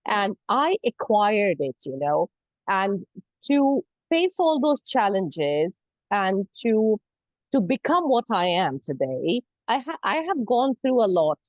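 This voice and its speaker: Female lecturer as interview guest, non-native English, lively intonation, chatty and earnest, slightly dull dry recording. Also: medium pitch, slow pace, no room sound. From Saudi Arabia. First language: not English. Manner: frequent "you know", earnest explaining. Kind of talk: conversational interview answers.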